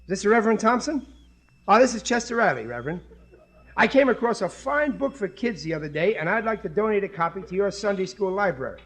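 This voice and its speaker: A man's voice: sits high, animated, fast talker, fairly bright tone, full character voice, poor audio. A man playing a blustering, gullible, eager working-class father in a 1950 radio sitcom, stammering and ungrammatical. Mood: hearty, self-important. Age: middle-aged. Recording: vintage 1950 radio recording.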